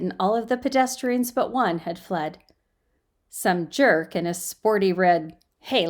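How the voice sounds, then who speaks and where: Informal woman reading stories at home. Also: medium pitch. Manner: informal, self-deprecating, fidgety. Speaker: amateur story reader. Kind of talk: casual chatty intro and amateur storybook reading. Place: quiet guest room at home. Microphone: built-in laptop microphone.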